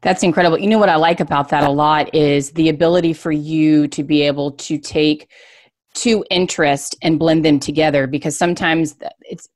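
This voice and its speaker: A woman's voice: low voice, fairly even intonation, normal speed, darkish tone, dry recording, studio quality. A woman hosting a conversational interview, speaking in an effusive, affirming way. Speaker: show host.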